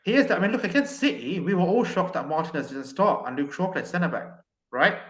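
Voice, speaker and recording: Guy on a call-in, fired up about football, bit high voice, lots of restarts, echoey room. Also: a little fast. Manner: animated, many restarts and "look" fillers. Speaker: caller. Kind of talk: casual football chat.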